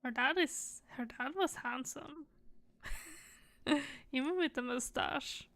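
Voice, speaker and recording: High-voiced, slow-talking woman streamer. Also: a bit bright. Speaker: game streamer. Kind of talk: casual live reaction commentary.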